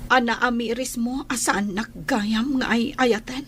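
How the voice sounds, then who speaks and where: A betrayed young wife in a radio drama, her high voice full of reproach and pleading. There is faint noise behind her. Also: steady tone, measured pace, a bit bright. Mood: confronting.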